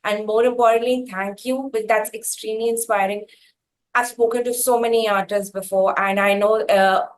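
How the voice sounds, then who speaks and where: A woman hosting a conversational interview, speaking effusively in halting phrases with repeated words for emphasis. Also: medium pitch, rough recording. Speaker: interviewer, host.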